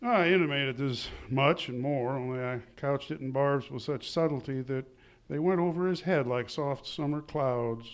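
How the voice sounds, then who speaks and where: A man's voice: medium pitch, normal speed, close to an everyday voice. A man playing a pompous, haughty small-town husband and father, wordy and condescending, in 1940s-style radio comedy. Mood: haughty, scornful. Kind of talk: 1940s radio comedy acting, live on stage. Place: live theatre stage.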